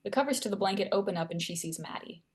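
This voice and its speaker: A woman's voice: mid-range voice, lively intonation, quick pace, slight background noise. A woman reading scripted stage directions as the narrator of a screenplay table read.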